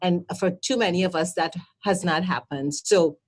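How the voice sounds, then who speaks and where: A woman's voice: lowish voice, moderate pace. A woman from Trinidad and Tobago with a Trinidadian accent, speaking earnestly as a healthcare advocate on a panel and often stumbling over repeated words. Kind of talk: passionate testimony on a panel.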